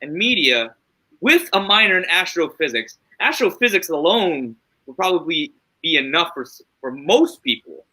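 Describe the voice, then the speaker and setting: Upbeat guy hosting a theater stream, really bright voice, kinda high. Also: normal speed, husky, poor audio. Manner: upbeat, friendly, lively interjections. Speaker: host. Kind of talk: casual interview chat.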